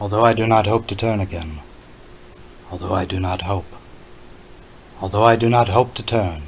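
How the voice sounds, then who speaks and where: A man giving a dramatic reading of a long poem, with a slow, solemn, incantatory delivery. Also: fairly low pitch, slight background noise. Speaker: poetry reader.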